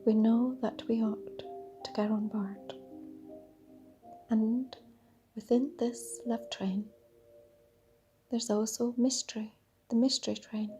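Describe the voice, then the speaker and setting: A woman leading a guided meditation in a dreamy, soothing, half-whispered voice with drawn-out pauses. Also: fairly high pitch, flat delivery. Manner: breathy, half-whispered delivery. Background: soft sound bath music.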